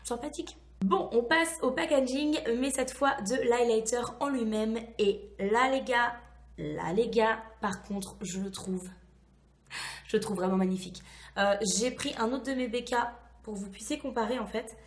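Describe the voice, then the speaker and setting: Hoarse, high-voiced woman vlogger. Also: moderate pace. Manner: chatty, enthusiastic. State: hoarse, recovering from lost voice. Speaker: beauty vlogger. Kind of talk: casual product review to camera. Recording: echoey, reverberant room.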